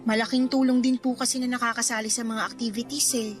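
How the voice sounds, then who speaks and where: High-pitched, quietly sulky Filipino schoolgirl played by a woman. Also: little pitch movement, quick pace, soft background music, close to an everyday voice. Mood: defensive, sulky. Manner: polite, defensive, subdued sulking. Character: dutiful teenage schoolgirl daughter, quietly resentful. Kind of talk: radio drama dialogue.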